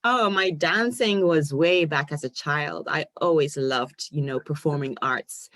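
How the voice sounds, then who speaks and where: A low-pitched woman in her forties from Ghana, the guest on a video-call interview. She tells her story in an animated, storytelling way, with a dry, close sound. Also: a little slow, no room sound. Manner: animated storyteller, laughs often, acts out quoted voices. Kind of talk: casual interview conversation.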